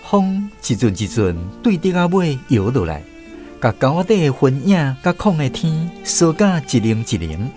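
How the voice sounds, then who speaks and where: Animated Taiwanese man narrating a story. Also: fairly high pitch, moderate pace, soft background music. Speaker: radio drama narrator. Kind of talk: scripted storytelling narration in a radio drama.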